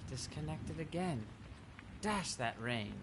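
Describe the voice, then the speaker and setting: Lovelorn inn musician, highish voice. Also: male voice, lively intonation, takes it slowly, noisy background. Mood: plaintive. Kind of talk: video game character dialogue.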